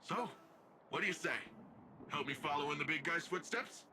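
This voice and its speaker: An actor playing a brash, upbeat young hero in a video game, with casual, slangy, animated delivery. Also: male voice, a little high, normal speed, background noise, natural voice. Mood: eager, upbeat.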